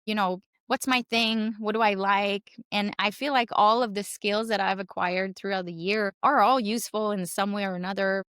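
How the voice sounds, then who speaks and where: A woman's voice: mid-range voice, fairly even intonation, normal speed, hardly any pauses, a bit bright, clear, resonant tone, no echo, clean studio sound. A woman in her forties from Canada with a French Canadian accent, a native French speaker chatting as a guest in an interview, rambling with many fillers. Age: 40s. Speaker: guest, circus performer and realtor. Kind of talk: casual interview chat.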